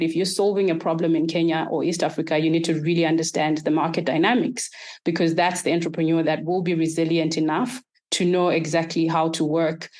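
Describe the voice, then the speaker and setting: A woman from Zimbabwe, answering podcast interview questions in a measured, structured way. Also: sits low, darkish tone. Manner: measured, structured, explains in lists. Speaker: guest, startup accelerator executive.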